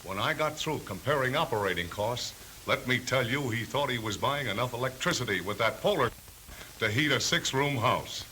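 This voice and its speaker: A man's voice: medium pitch, animated, normal speed, bright, forceful tone. An actor playing a self-assured salesman in a 1930s training film, talking in emphatic slang. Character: brisk, self-assured refrigerator salesman swapping sales tricks. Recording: hissy, noisy 1930s film soundtrack.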